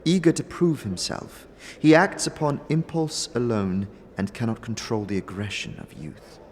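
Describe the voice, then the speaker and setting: A man voicing a solemn, aloof mystic noblewoman with formal, measured speech and dramatic pauses. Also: on the low side, gravelly, a little mellow.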